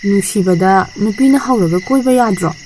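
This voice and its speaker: Very fast-talking lovestruck young student played by an actress. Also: female voice, mid-range voice, a little hiss or hum, natural voice, low-quality recording. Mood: dreamy, pleading. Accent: Manipuri. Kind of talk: audio drama acting. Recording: loud music and birdsong behind. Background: music, birds and crickets.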